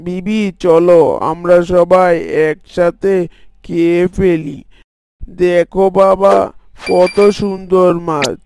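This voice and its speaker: High-voiced man acting a village fisherman father. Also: level tone, slow pace, a little mellow, clear, resonant tone. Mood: gentle, caring. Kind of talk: dubbed moral story cartoon acting.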